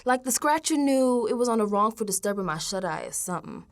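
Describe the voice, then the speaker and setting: A woman acts out a spooked but streetwise young woman, confiding in an audio diary. Her voice sits high and leaps around in lively swings. The delivery is slangy and conversational, in the manner of a first-person radio drama. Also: quick pace, close to an everyday voice. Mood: exasperated, chatty. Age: young adult. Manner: slangy, conversational, dropping into hushed asides.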